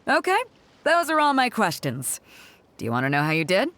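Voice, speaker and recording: A woman's voice: mid-range voice, huge pitch swings, fairly quick, background noise. A woman playing a confident, teasing female ship captain in a video game, breezy and self-assured. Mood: playful, teasing. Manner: breezy, playful, self-assured, chuckles while talking. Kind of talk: video game character dialogue.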